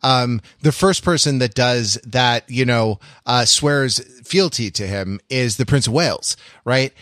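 An American man co-hosting a casual podcast discussion, speaking haltingly as he steers the conversation. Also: medium pitch, a bit bright, no room sound, clean studio sound. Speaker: podcast co-host.

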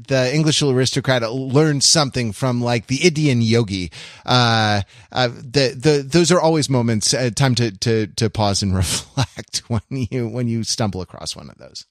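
A man with a mid-range voice talks as a podcast co-host in a close, dry recording. His delivery is halting and academic, building an analysis piece by piece in casual conversation and checking in as he goes. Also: medium pitch, no room sound. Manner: halting, academic phrasing with frequent check-in tags. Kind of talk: casual analytical pop-culture discussion.

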